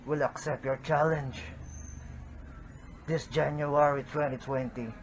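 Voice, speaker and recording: A man from the Philippines, a pro wrestler cutting a slow, deliberate, menacing promo against a rival. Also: fairly high pitch, fairly even intonation, slight background noise.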